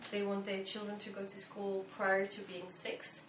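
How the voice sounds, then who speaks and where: Young woman, echoey room, measured. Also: medium pitch, completely flat delivery, recorded from a distance. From Belgium. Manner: thoughtful, measured, with frequent pauses and fillers. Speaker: interviewee, volunteer. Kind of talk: explanatory interview answers. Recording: echoey room, faint background noise.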